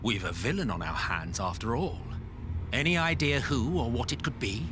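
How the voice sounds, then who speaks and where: A man playing a blunt, authoritative figure in a game cutscene, with a husky voice and an emphatic, wry gravity. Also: medium pitch, animated, normal speed, noisy background, natural voice. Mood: urgent. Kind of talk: video game cutscene acting.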